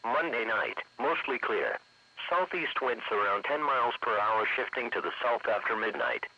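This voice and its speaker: A man's voice: medium pitch, expressive, normal speed, no room sound. A man reading a scripted weather forecast in a formal, segmented, automated way as a radio announcer. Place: radio broadcast captured for an online stream. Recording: muffled lo-fi weather radio broadcast.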